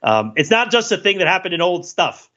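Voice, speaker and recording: A man's voice: sits high, very animated, a little fast, sharp tone, lo-fi sound. A man co-hosting a podcast discussion, talking casually with many fillers, restarts and little laughs. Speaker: podcast co-host.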